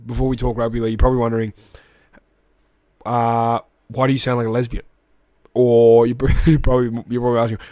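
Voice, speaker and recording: Australian man talking through a cold. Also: medium pitch. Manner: rambling and chatty, with restarts and asides. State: sick with a cold, phlegmy and coughing. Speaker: rugby league podcast host. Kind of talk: casual recap chat.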